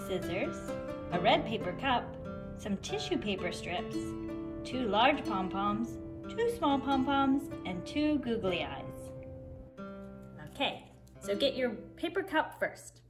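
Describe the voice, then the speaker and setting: A woman teacher speaking to kindergarteners, expressive and simply instructive, as in story time and craft lessons. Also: mid-range voice, slow pace, over music. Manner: expressive, simple instructive phrasing for young children. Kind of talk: storybook read-aloud and craft instructions for children.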